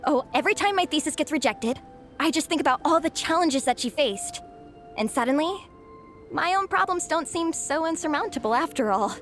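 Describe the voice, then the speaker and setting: A woman's voice: high-pitched, lively intonation, brisk, soft background music. A woman playing a spirited young female scholar in a video game, a theatrical, gushing fan. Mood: admiring. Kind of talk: video game character acting.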